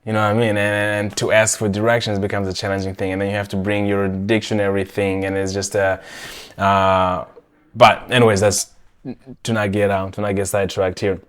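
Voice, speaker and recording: Low-voiced young man hosting a podcast. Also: level tone, brisk. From Rwanda. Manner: chatty, self-correcting, frequent restarts. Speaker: podcast host. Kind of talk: casual conversation.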